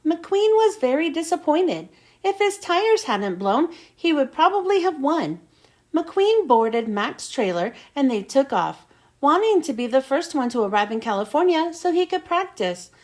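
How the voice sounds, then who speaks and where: A woman reading a children's storybook aloud as an expressive narrator. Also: sits high, measured pace. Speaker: storybook reader.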